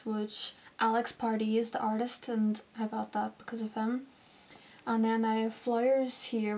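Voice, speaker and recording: A young woman from Northern Ireland with a Northern Irish accent, chatting casually to camera and answering viewer questions. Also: on the high side. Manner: chatty, rambling, with fillers and restarts. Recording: dull lo-fi sound with noticeable background noise.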